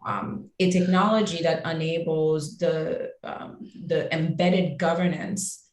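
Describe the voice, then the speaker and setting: A woman from Haiti, speaking as a founder on a webinar panel in a measured, halting way with frequent restarts. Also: low voice, steady tone. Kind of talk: panel discussion answer. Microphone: webinar call microphone. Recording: roomy echo on a video call.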